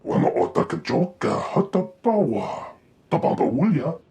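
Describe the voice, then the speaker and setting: Gruff alien henchman for a game: heavily rasped, guttural alien tongue, lively pitch swings. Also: moderate pace, exaggerated voice. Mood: dry, needling. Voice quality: raspy, guttural. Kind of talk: video game character acting.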